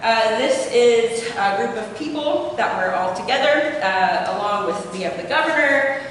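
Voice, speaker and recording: A woman's voice: on the high side, lively intonation, a little slow, a bit bright, distant mic. A young woman with an Appalachian accent giving an informal academic presentation in a lecture room, with plenty of filler hesitations. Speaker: doctoral student presenting research. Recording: echoey hall taken from afar with noise.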